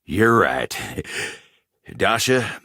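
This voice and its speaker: An actor voicing a shy, earnest, lovestruck cowboy type in a video game, with a gravelly Southern American drawl and a tender, halting manner. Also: male voice, fairly low pitch, sing-song, sharp tone, dry recording, clean studio sound, heavily acted. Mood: warm, grateful. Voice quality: gravelly, husky. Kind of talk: video game character voice acting.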